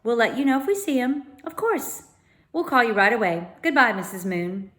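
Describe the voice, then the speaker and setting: A woman's voice: fairly high pitch, animated, normal speed, fairly bright tone, voice pushed up. A woman voicing a polite, apologetic, flustered mother on the telephone in a storybook. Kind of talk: children's storybook character voice.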